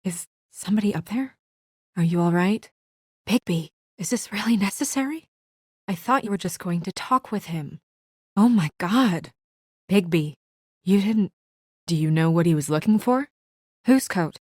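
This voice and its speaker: A woman's voice: medium pitch, animated, normal speed, pauses often, a little mellow, slightly rough, no echo, clean studio sound. A woman playing an earnest, businesslike young woman with office authority and a dryly exasperated edge, in naturalistic video game acting. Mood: worried, disapproving.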